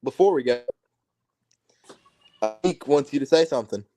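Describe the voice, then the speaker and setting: A man's voice: mid-range voice, animated, moderate pace, dark tone, faint music behind. Man, co-host, short dry replies, casual stream chat.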